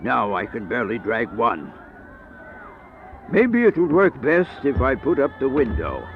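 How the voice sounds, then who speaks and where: An actor playing an aged, sickly vampire in a radio horror play, bitter and remorseful, in a grandiose, melodramatic manner. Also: male voice, mid-range voice, huge pitch swings, unhurried. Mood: weary, bitter. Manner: grandiose, brooding, melodramatic monologue. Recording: dull lo-fi radio play with music.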